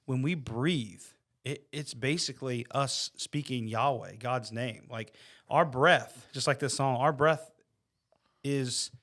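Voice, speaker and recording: A man's voice: mid-range voice, slow, a bit bright. Earnest man in casual faith discussion. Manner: earnest, checks in with "you know what I mean". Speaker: faith podcast panelist.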